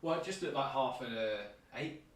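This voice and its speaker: A man trading deadpan, dim-witted quips in casual comedic chat. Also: mid-range voice, moderate pace. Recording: distant, echoey off-mic voice.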